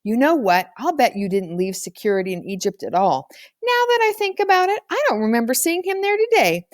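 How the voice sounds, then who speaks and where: Woman reading as caring, coaxing big sister, higher voice. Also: very animated, a little fast, no echo, studio quality. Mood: eager coaxing. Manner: reassuring, persuasive.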